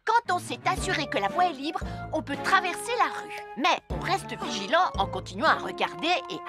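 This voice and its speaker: A woman's voice: high voice, big pitch swings, moderate pace, over music. Self-important small boy, theatrical, swooping intonation, children's cartoon hero, explanatory. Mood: proud, eager.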